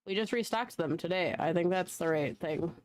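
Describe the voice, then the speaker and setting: A woman's voice: fairly low pitch, normal speed, dry recording. A young woman who is losing her voice, chatting casually and laughing often as she hosts a live tarot reading stream. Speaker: tarot reader and livestream host. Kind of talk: casual livestream chat.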